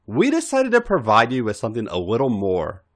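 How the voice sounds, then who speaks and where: Man playing male wrestler rival, smug, taunting, mocking, emphatic, very animated pitch, audio fiction. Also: a little fast.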